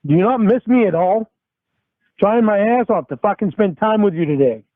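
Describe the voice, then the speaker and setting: Brief: man on a tinny dry phone line, high voice, angry and cursing. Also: expressive, moderate pace, dry recording. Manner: angry, pleading, swears a lot. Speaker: caller on a recorded phone call. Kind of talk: heated phone argument.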